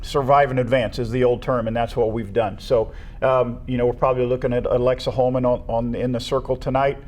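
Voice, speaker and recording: A man's voice: mid-range voice, flat delivery, a little fast, darkish tone. An American man co-hosting a school sports show, talking through results in a conversational, matter-of-fact, analytical way. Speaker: co-host of a school athletics show.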